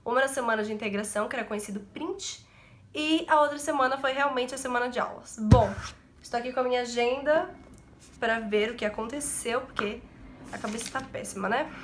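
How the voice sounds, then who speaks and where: A woman's voice: on the high side, fairly quick. A seventeen-year-old woman from Brazil, chatting casually to camera in her bedroom, nasal from a cold and self-deprecating. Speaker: vlogger.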